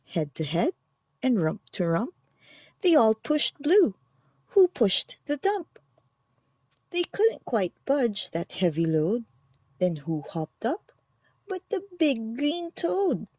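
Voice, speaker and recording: A woman narrating a children's picture book aloud with expressive, deliberate phrasing. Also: a little high, no room sound. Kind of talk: animated storybook read-aloud.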